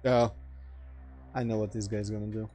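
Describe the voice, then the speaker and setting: A man's voice: fairly low pitch, level tone, normal speed, dark tone, faint music behind. Dry-humored Russian man narrating gameplay. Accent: mild Russian. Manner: dry, sarcastic asides. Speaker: gameplay commentator. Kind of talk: casual let's play commentary.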